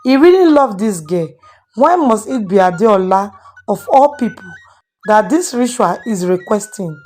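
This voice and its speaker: A woman's voice: medium pitch, animated, measured pace, a little mellow, soft background music. A woman storyteller hosting and dramatically narrating a moral tale. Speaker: storyteller and channel host.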